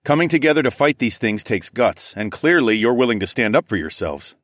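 A man's voice: medium pitch, sing-song, brisk, fairly bright tone, no room sound, clean studio sound, voice pushed up. A man voicing a firm, protective, sardonic male group leader in an audiobook. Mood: encouraging.

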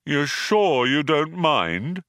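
A man's voice: fairly low pitch, big pitch swings, a bit bright, smooth, dry recording, studio quality, heavily acted. A man voicing a gloomy, self-pitying robot in a storybook reading, with a hollow, hopeless drone. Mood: pathetic. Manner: slow, droning, hopeless delivery.

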